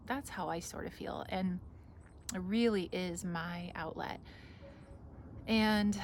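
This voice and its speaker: A woman hosting a casual garden vlog, chatting in a rambling, enthusiastic, gushing way as she walks around outdoors. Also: on the low side. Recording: noisy outdoor ambience.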